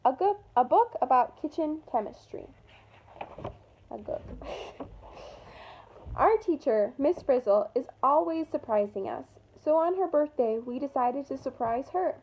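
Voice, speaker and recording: High-voiced woman reading to children. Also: measured pace, dull audio. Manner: expressive, pointing out pictures with brief asides. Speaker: storytime reader for children. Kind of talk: animated read-aloud of a picture book.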